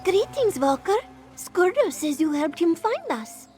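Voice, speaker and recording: A woman's voice: extremely high pitch, big pitch swings, measured pace, a little mellow, soft background music, full character voice. A coy, flirty cat-folk woman. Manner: purring, coy and teasing. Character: flirty female cat-folk wanderer, playful, speaks of herself in third person. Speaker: game character. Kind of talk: video game voice acting.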